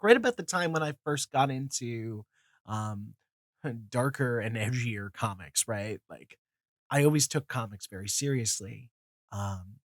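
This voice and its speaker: A man's voice: mid-range voice, no echo, clean studio sound. A man in his twenties with a cold and a nasal voice, rambling casually through his opinions with many fillers, restarts and casual swearing. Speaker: comics video host.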